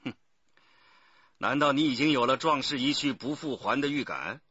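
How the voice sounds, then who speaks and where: An actor playing a stern, authoritative police detective chief in a radio crime drama. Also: male voice, medium pitch, normal speed, pushed and bright, husky, dry recording, dull audio. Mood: stern, pressing. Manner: authoritative, pressing.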